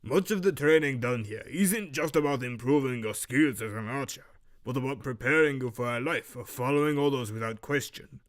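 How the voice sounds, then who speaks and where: A man voicing a stern master archery instructor with a muddled, Romanian-like Eastern European accent, lecturing in a measured, severe way for an audio drama. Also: medium pitch, natural voice. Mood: cold, solemn. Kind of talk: fan audio drama character acting.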